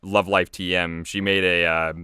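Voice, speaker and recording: A man's voice: sits low, little pitch movement, moderate pace, pushed and bright, clean tone, no room sound, clean studio sound. A man with an American accent giving casual, rambling, self-deprecating commentary on his own video. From United States. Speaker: creator and voice actor commentating.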